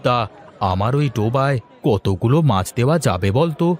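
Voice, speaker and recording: Lively easygoing village man played by an actor. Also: medium pitch, moderate pace, a faint crowd, close to an everyday voice. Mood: curious, surprised. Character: easygoing village street-food seller, a family man. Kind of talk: cartoon story dialogue.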